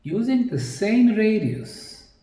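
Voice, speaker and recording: A man giving a lecture-style presentation, speaking deliberately in short, emphatic phrases with pauses. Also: high-pitched, very animated, mellow. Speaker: presenter, anthropologist. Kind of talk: slide lecture presentation on sacred geometry. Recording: distant mic in an echoey room.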